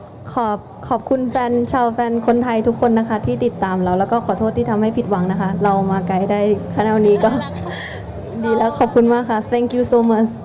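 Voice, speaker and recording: A woman esports player from Thailand giving a rushed message to her fans. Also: fairly high pitch, steady tone, background noise.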